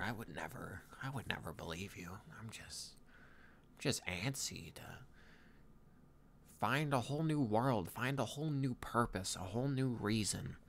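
A man acts out a young Viking dragon trainer, tender and wistful, in an intimate ASMR roleplay. He speaks very slowly and softly in a lowish male voice, with halting phrases. Ambient noise sits behind him. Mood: wistful, amazed, then restless, tender. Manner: soft-spoken, halting, intimate. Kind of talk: soft-spoken ASMR boyfriend roleplay. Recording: clear background ambient noise.

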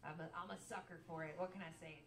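A woman singer-songwriter chatting on a small cafe stage, bubbly and quick to laugh. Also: fairly low pitch, fairly even intonation. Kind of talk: live stage chat between songs. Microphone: stand mic, far camera. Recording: roomy live room, far from mic.

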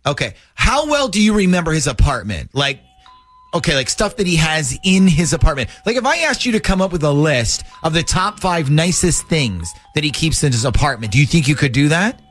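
A man's voice: fairly high pitch, big pitch swings, a little fast, fairly bright tone, faint music behind. A man hosting a radio phone-in show, talking in a casual, joking way. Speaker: morning radio show host.

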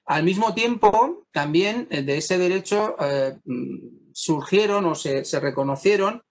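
A man from Spain giving an academic law lecture over a video call, pausing often and restarting his sentences. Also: sits high, expressive. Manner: thoughtful, many pauses, fillers and restarts.

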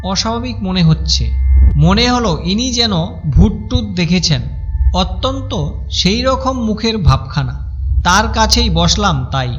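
A man with a high-pitched voice narrates a cartoon ghost story in the first person, wry and chatty. Soft music plays under his voice. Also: animated, unhurried, lo-fi sound.

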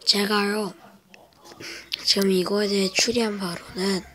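A woman vlogger whispering a spooky personal story at home in a slow, hesitant way, with many fillers. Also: medium pitch, little pitch movement, a bit bright. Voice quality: breathy whisper. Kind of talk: whispered casual storytelling to camera. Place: home, indoors.

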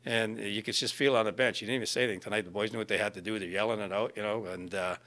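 A man's voice: fairly low pitch, steady tone, very fast, a bit bright. An older man, a plain-spoken, folksy hockey coach giving post-game comments. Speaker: ice hockey head coach. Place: indoor media backdrop at a rink. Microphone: microphone on a stand in front.

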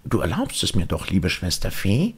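A man voicing a naive, excitable little puppet boy in a storybook reading, childlike and eager. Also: deep voice, moderate pace, husky, full character voice. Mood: eager, affectionate. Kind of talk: audiobook storytelling.

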